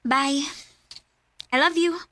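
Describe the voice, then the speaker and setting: A woman's voice: high-pitched, takes it slowly, pushed and bright, full character voice. A woman playing a friendly, chatty young woman who brings her car in for repair. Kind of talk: amateur radio drama acting.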